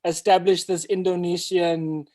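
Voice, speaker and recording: A man's voice: high voice, little pitch movement, measured pace, warm tone. A man chatting casually on a video call, speaking reflectively and often repeating words as he finds his thought. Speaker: host and storyteller.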